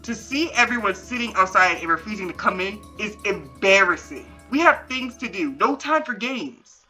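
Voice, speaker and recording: A woman's voice: medium pitch, expressive, moderate pace, sharp tone, faint music behind, rough recording. A woman acting a bossy, scolding dance coach in a voiced roleplay series, emphatic and demanding. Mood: scolding, indignant.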